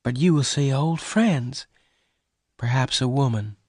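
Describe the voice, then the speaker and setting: A man voicing a shy, lonely young woman who speaks softly. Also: mid-range voice, moderate pace, dry recording, clean studio sound, voice pushed up, natural voice. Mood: tender.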